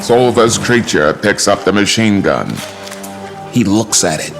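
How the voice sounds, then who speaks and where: A man's voice: on the low side, expressive, measured pace. A man performing as the grave, dramatic narrator of a monster audio drama. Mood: suspenseful. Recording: music under the narration.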